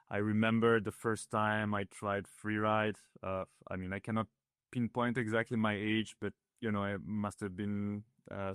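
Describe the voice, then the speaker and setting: A man's voice: on the low side, clean tone, no room sound, clean studio sound. A man from northern Italy, raised in Switzerland, whose first language is Italian, talking through a casual interview with many fillers and restarts. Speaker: ski builder guest.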